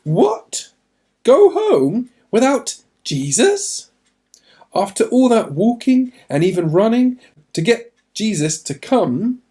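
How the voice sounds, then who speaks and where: A man's voice: extremely high pitch, sing-song, slow, warm tone, pitched above the performer's own voice, exaggerated voice. A man voicing an emotional, exclaiming father in a children's Bible story. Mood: shocked disbelief. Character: desperate, pleading, then overjoyed father in a children's Bible story.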